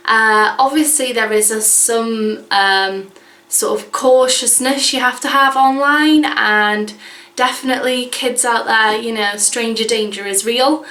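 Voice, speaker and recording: A woman's voice: on the high side, fairly even intonation, measured pace, pushed and bright, reverberant. A woman, chatting casually in a vlog with lots of fillers and "like"s. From Wales. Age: 20s. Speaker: vlogger. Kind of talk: casual chat.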